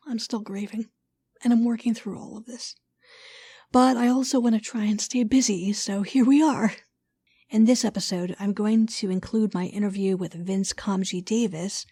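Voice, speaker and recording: A woman's voice: a little high, steady tone, normal speed, warm tone, husky, no room sound. A woman hosting a podcast, giving casual announcements and interview questions.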